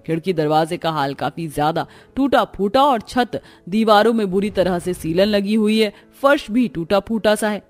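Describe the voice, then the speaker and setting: A woman tells a scripted cartoon story as its narrator. Her pitch is mid-range, and faint music plays behind the narration.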